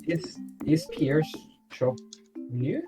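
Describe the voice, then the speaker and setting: A man's voice: on the high side, very slow. A man bantering casually with friends as they play a game, in a joking, crude and sweary manner. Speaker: co-player in a gaming stream. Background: loud video game music.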